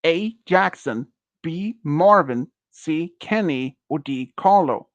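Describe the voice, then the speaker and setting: A man in his early thirties, speaking English as a second language, hosts a casual quiz. His voice sits a little high and he talks slowly, stopping and restarting as he searches for words. The sound is close and dry. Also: lively intonation, dry recording, lo-fi sound. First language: not English. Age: early 30s. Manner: slow, halting, many restarts and fillers. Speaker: quiz host. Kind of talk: casual stream quiz chat.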